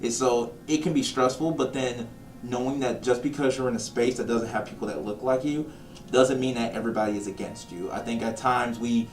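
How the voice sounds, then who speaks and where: A man's voice: mid-range voice, fairly even intonation, a little fast. A man talking reflectively and conversationally in an interview. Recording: echoey room, slightly distant mic. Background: background music.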